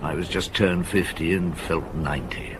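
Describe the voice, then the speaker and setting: An actor playing Maigret, a genial, reflective French police inspector past fifty, in radio drama style, unhurried and given to murmured hums. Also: male voice, deep voice, background noise, lightly acted. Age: older. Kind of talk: British radio drama acting.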